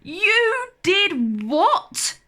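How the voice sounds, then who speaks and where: A woman voicing a brisk, hard-up mother with a quick temper in a children's storybook. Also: extremely high pitch, big pitch swings, bright, forceful tone, pitched above the performer's own voice, full character voice. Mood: outraged. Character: hard-up boy's mum, brave-faced but quick to anger.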